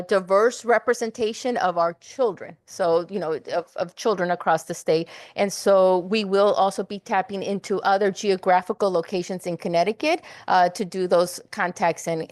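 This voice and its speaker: A woman gives an update at a meeting in a halting way, stopping and restarting around many fillers. Her pitch is middle for a woman. The sound is dry, with no room echo. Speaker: committee staff lead.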